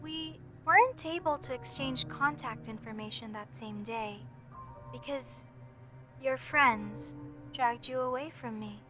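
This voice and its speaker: High-pitched, slow, lovesick unhinged mortician. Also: female voice, over music. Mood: wistful, lovesick. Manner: sugary, theatrical, formal wording, slow deliberate delivery. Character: obsessive unhinged young woman mortician, sweetly menacing, lovesick kidnapper. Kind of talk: scripted horror roleplay monologue to listener.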